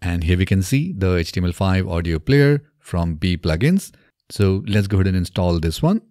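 A man in his thirties presenting a software tutorial step by step in a methodical way, recorded close on a desk microphone in a small home studio. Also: sits low, very animated, moderate pace, no room sound. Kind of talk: scripted software tutorial narration. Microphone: close desk microphone on boom arm.

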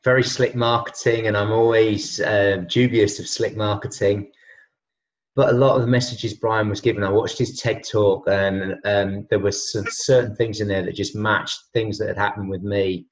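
A man's voice: fairly low pitch, fairly even intonation, moderate pace. A man in his fifties, a health and wellness coach, chatting casually on a video call from a room at home, with many fillers and restarts. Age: 50s. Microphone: laptop webcam on a video call. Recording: dull lo-fi video call audio.

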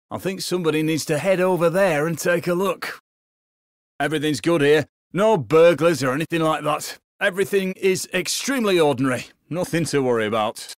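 A man's voice: sits high, no room sound, clean studio sound, close to an everyday voice. A man with a British accent voicing a hapless, cheeky video game crook who bluffs sheepishly as a guard. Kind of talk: game voice lines over radio.

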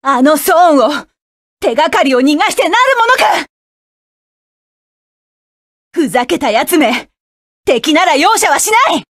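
Game VO: vengeful young female pilot, high-pitched, clipped commands, dry studio read. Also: lively intonation, measured pace, pauses often, dry recording. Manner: commanding, clipped battle calls. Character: fierce young woman mecha pilot, vengeful and resolute. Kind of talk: video game combat voice lines.

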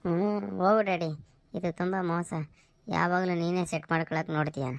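A woman's voice: lowish voice, steady tone, fairly quick, lightly acted. A woman voicing an eager, cheeky young schoolchild in a comedy cartoon skit. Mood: cheeky protest.

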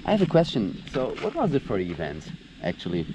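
A man's voice: lowish voice, huge pitch swings, fairly quick, muffled recording. A man casually asking questions as a visitor in an outdoor chat. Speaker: travel companion asking questions. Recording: distant mic, noisy outdoor ambience.

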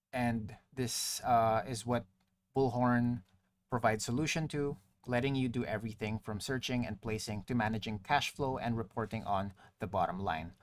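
A man presenting a software tutorial as a walkthrough voice-over, explaining and partly reading aloud, sometimes correcting himself. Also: medium pitch. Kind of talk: software walkthrough narration, partly read from screen. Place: screen-recording voice-over.

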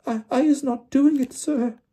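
A man voicing a small, terrified female house-elf servant in an audiobook, squeaky, stammering and pleading tearfully. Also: steady tone, measured pace, dark tone. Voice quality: squeaky, little voice. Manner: stammering, gasping, broken grammar. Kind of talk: audiobook character voice.

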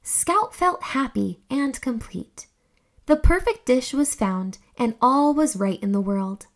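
A young woman reading a children's story aloud in a theatrical, expressive storytelling manner. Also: high-pitched, takes it slowly. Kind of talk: expressive scripted read-aloud narration for children.

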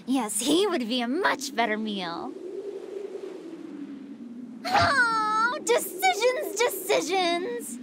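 A woman's voice: very high voice, big pitch swings, very slow, long pauses, very noisy, exaggerated voice. A woman voicing a sweet-toothed, playfully cruel female android villain in an anime fighting game, with theatrical, taunting delivery. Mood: hungry glee.

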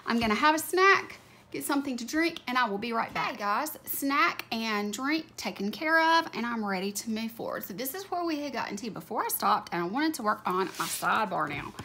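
A woman's voice: on the high side, expressive, fairly quick, bright, forceful tone. Chatty woman with a scratchy voice. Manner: chatty, gushing over cute stickers. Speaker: planner video creator. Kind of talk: casual narration while decorating a planner. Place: home desk, overhead camera on hands. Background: faint room ambience, a dog snoring.

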